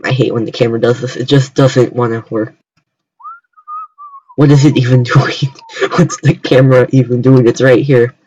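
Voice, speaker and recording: Young American male streamer, casual and halting delivery, fairly flat pitch, slightly lo-fi sound. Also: a little hiss or hum. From United States. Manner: halting, repetitive starts. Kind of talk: casual chat on a livestream.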